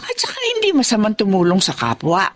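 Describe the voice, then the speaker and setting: A woman's voice: medium pitch, huge pitch swings, fast. A woman playing a kindly, compassionate elderly mother in a Filipino radio drama. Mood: heartfelt, defensive.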